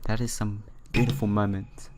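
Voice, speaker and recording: A man's voice: lowish voice, flat delivery, normal speed, darkish tone. A man with a sore, slightly broken voice doing a casual game playthrough, reading visual novel text aloud and adding his own comments. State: sore throat. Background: game music.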